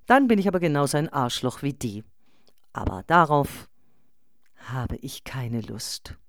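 A performer reading a personal letter as a frank, exasperated social media poster, emphatic and colloquial. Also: female voice, very deep voice, big pitch swings, unhurried, dry recording, clean studio sound, exaggerated voice. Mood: indignant. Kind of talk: read-out personal letter in a radio collage.

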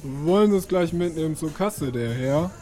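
A man's voice: on the high side, expressive, moderate pace, dark tone, soft background music, lightly acted. A man playing a polite, matter-of-fact electronics salesman in a radio play, speaking plainly and a little hesitantly. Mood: polite, businesslike. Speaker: shop assistant. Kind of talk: radio play, everyday dialogue.

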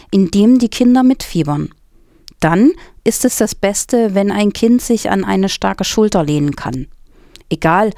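A woman's voice: medium pitch, a little mellow, no room sound, studio quality. A woman co-presenting a news programme, reading scripted text and quotations. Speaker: quote reader and co-presenter.

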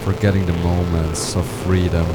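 A man reading a poetic personal text in a halting way, sometimes stumbling over words. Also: low voice, flat delivery, very noisy. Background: loud experimental music.

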